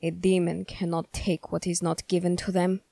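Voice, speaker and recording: A woman voicing a stern, suspicious young male witch hunter in an ASMR roleplay, speaking formally and accusingly with deliberate pauses. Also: on the low side, little pitch movement, vocal fry, warm tone, no room sound. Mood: suspicious, cold. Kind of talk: ASMR character roleplay, scripted.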